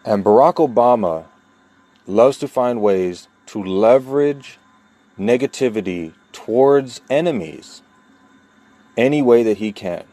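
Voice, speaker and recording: A man from New York speaking a personal monologue, deliberate and emphatic, with long pauses and repeated key points. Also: mid-range voice, expressive, dark tone, no room sound. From New York, USA. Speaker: vlogger telling his own story.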